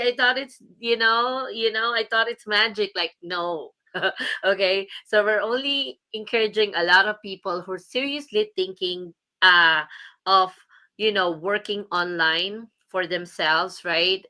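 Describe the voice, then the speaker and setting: A woman's voice: mid-range voice, pushed and bright. A woman from the Philippines hosting a casual livestream as a business coach, chatty and upbeat, laughing often.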